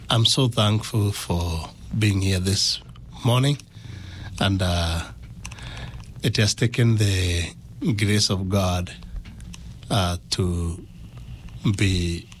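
Slow-speaking Kenyan man, low voice. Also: a little hiss or hum. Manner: halting, deliberate phrasing. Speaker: interview guest. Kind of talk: personal testimony.

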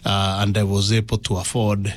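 A man with a Kenyan accent giving a personal testimony as an interview guest, in halting, deliberate phrases. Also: lowish voice, flat delivery, no room sound.

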